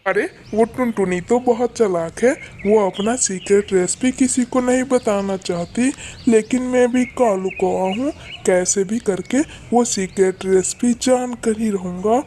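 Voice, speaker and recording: A woman's voice: medium pitch, measured pace, hardly any pauses, dark tone, lightly acted. Woman playing a scheming male crow over background noise. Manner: emphatic, repeats threats and calls. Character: scheming, threatening male crow who runs a food stall. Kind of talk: Hindi cartoon dialogue.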